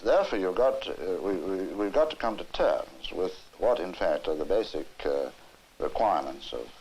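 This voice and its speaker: A man speaking as a lecturer in an earnest interview, emphatic but halting, often repeating words as he goes. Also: deep voice, animated, darkish tone. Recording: hissy, noisy 1960s film soundtrack.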